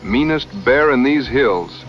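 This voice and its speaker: An actor playing an easygoing, modest frontier hunter in a radio drama, with folksy drawling talk. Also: male voice, medium pitch, expressive, slow pace, lo-fi sound, soft background music.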